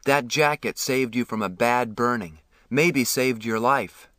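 A man voicing a calm, kind adult stranger reassuring a hurt teenager in a story reading. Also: mid-range voice, unhurried, no room sound, studio quality, close to an everyday voice.

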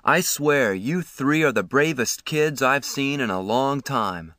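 A man voicing a calm, kind adult stranger reassuring a hurt teenager in a story reading. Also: medium pitch, unhurried, no echo, voice pushed up.

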